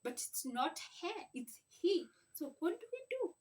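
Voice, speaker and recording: A woman's voice: very high voice, big pitch swings, unhurried. A woman giving an informal reading lesson as a parent, explaining things in an emphatic, repetitive, teacherly way. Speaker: parent teaching early reading, video host. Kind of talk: informal instructional explanation to camera. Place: at home. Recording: distant mic, faint background noise, clicks.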